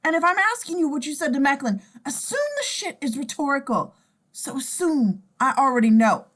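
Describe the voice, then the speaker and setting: A woman's voice: high voice, lively intonation, moderate pace, fairly bright tone. A woman reading the part of a menacing, sardonic movie gunslinger, slangy and pointed.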